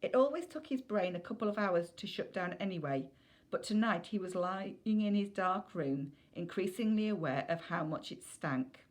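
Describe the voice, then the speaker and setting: A woman's voice: medium pitch, animated, moderate pace. A woman reading a children's novel aloud as scripted narration.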